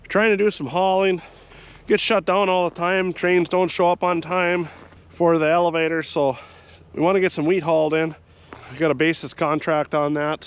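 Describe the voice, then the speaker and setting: A man's voice: a little high, clean tone, highs cut off. A farmer with a head cold, talking casually about his chores while working outdoors and in a tractor cab. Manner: plainspoken, rambling, explains farm chores. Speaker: farmer vlogger.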